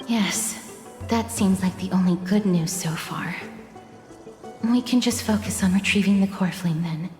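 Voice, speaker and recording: A composed young woman from a video game, played by an actress, with a mid-pitched voice and courteous, measured phrasing. Her lines carry a roomy echo with music underneath. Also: darkish tone, natural voice. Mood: calm, explanatory. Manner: polite, measured, formal. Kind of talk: scripted video game dialogue. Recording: echoey reverberant hall, music behind.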